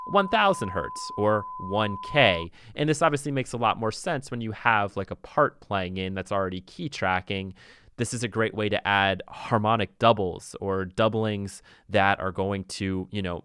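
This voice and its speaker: Low-voiced man giving a casual software tutorial. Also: unhurried, clean tone. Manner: explanatory, conversational, thinking aloud. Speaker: music software instructor.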